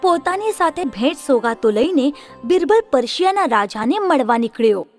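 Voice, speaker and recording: A woman narrating a children's story in an expressive storytelling manner. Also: sits high, normal speed, faint music behind.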